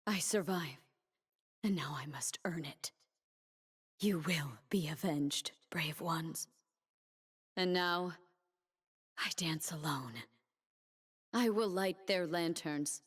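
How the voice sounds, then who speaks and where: A woman's voice: fairly low pitch, slow, long pauses, slightly rough, exaggerated voice. A woman playing a proud, stern young warrior who defends her homeland, with a solemn, defiant, cutting delivery for a video game. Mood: solemn, grieving. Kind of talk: video game character voice lines.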